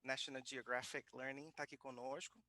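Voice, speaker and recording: Guy hosting a live workshop, bright lively voice, dry close sound. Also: medium pitch, moderate pace, no echo. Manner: formal introductions, reads a guest bio. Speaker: workshop host. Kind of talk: hosting a live online workshop in Portuguese and English. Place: makerspace.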